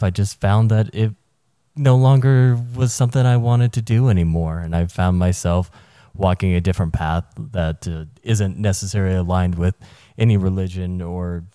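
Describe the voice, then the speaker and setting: A man co-hosting a casual podcast, speaking in a measured, hesitant way with many pauses and uhs. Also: deep voice, dark tone, clean tone, dry recording, studio quality. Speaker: podcast co-host.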